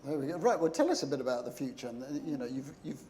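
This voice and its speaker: A man's voice: mid-range voice, lively intonation, very fast, darkish tone, a little hiss or hum. A man hosting a conference panel, upbeat and prompting the speakers with questions. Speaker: panel moderator. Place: conference hall.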